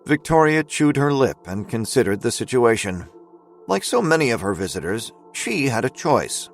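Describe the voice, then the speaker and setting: A man's voice: mid-range voice, sing-song, moderate pace, soft background music. A man narrating a scripted audio drama as its storyteller.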